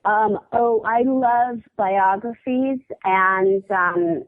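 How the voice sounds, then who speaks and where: Woman comic guest, chatty and halting, narrow phone-line sound, dry. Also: medium pitch, no echo. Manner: chatty, halting with many fillers and restarts, laughs often. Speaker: comedian guest. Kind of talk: casual interview chat.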